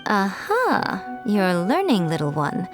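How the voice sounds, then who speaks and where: A woman's voice: mid-range voice, huge pitch swings, takes it slowly. A woman voicing a sly, self-assured female mystic in a video game, teasing and condescending in a leisurely drawl. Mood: sly, musing. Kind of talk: video game character acting. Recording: music behind the voice.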